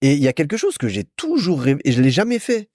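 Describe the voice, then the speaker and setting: Casting: young male podcast host from France, chatty and informal, animated intonation, dry studio-like sound. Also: a little high, fast talker, dry recording. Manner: chatty, informal, addresses listener as a friend. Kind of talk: casual solo podcast monologue, storytelling.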